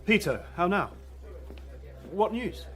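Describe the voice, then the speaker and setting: An actor playing a proud, sharp-witted young prince in a Shakespearean radio drama, taunting and declamatory. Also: male voice, high voice, expressive, slow pace. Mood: grave. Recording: music behind the voice.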